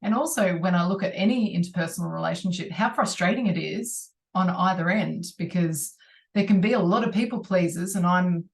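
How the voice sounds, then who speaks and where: Woman, off-mic sound, somewhat low pitch, casual reflective co-host chat. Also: brisk, creaky. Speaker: podcast co-host. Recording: distant from the microphone.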